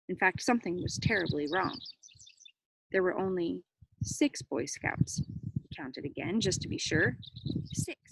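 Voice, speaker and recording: A woman reading a children's story aloud, with expressive dramatic emphasis and brief explanatory asides. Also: mid-range voice, creaky, rough recording. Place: outdoors near cows. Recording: faint background noise.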